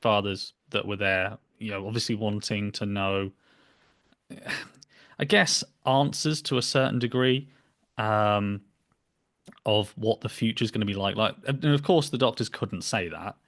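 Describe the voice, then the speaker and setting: Low-pitched young man, hesitant talker. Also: measured pace, a bit bright. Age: young adult. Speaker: podcast host. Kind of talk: casual interview chat. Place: small home room, indoors. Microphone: computer mic on a video call.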